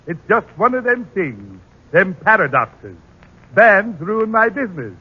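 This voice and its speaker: An eccentric male poet declaims silly rhymes with pompous flourish in a 1940s radio comedy. His voice is husky, and the old broadcast sounds dull and muffled. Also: high voice, sing-song, a little slow, exaggerated voice.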